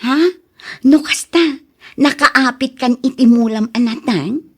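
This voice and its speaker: High-pitched coaxing young Ilocano woman, acted out by a woman. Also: measured pace. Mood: wheedling. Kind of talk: Ilocano radio drama acting.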